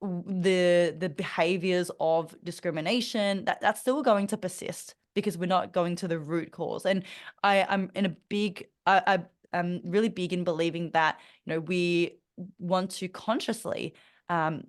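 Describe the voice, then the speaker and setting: Australian woman, young adult, interview guest; lower register, sincere and reflective, restarts often. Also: fairly even intonation, unhurried. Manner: earnest, thoughtful, many fillers and restarts. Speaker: podcast guest. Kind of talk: conversational interview.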